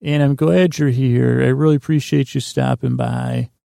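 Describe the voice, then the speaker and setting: A man hosting a bedtime podcast in a gravelly voice, rambling in a halting, meandering way and often interrupting himself to lull listeners to sleep. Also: medium pitch, fairly even intonation, warm tone, no room sound, studio quality. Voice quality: gravelly, creaky. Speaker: podcast host.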